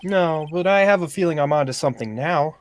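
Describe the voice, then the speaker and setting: A man's voice: fairly high pitch, a little fast, natural voice. A man playing an earnest, snarky young defense lawyer in an amateur video game dub, declaring his points wryly. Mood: insistent.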